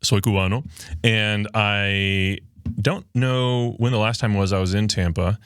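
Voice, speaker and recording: A man's voice: lowish voice, measured pace, a bit bright, smooth, no room sound, studio quality. A man from Miami who grew up speaking Spanish, hosting a podcast in a loose, joking way and reading viewer comments aloud while short on sleep. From Miami, Florida. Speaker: podcast host. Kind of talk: casual livestream chat. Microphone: studio microphone.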